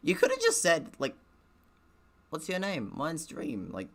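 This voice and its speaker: A man's voice: fairly high pitch, wildly swooping pitch, measured pace. A man giving casual live gaming commentary and reading a visual novel aloud, with a sweary, joking, giggly manner.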